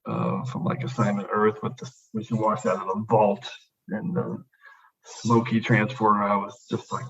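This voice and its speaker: A man hosts a casual podcast chat at an average pitch, gushing with eager praise and doubling up his words. His voice comes through a little dull and lo-fi. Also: a bit bright, husky. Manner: enthusiastic, repeats praise words. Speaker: podcast host.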